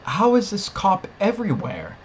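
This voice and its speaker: A man's voice: high-pitched, normal speed. Man ranting casually over background noise. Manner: animated complaining, incredulous, rambling. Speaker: discussion show host. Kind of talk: casual TV episode review, ranting chat.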